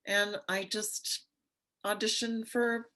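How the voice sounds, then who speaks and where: Woman chatting in a medium-pitched voice. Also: little pitch movement, takes it slowly, pushed and bright. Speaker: actress on a cast panel. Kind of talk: casual chat.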